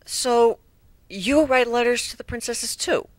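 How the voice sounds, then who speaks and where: A man's voice: very high voice, measured pace, no room sound, pitched above the performer's own voice. A man voicing a bookish, earnest young female unicorn who sounds flustered and indignant, in a cartoon fan story reading. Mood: indignant, nervous.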